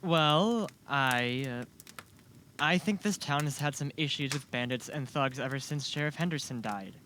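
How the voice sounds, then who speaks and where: A man's voice: mid-range voice, moderate pace, bright, forceful tone, natural voice. A man playing a curious, earnest young shop worker in an amateur audio drama, eager and full of questions. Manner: inquisitive, earnest. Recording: faint background noise.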